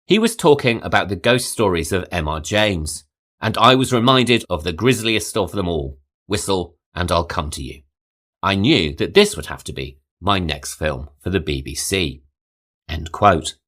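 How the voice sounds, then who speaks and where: A man hosting a podcast, reading a scripted film history and quoting sources in a measured way. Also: low-pitched, a bit bright. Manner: measured, reads quotes aloud. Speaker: podcast host.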